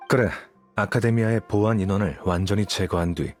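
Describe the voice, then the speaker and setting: A man's voice: fairly low pitch, a little fast, a little mellow, natural voice. A man playing a cool, calculating scholar in a video game, explaining things in a composed, matter-of-fact way. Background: background music.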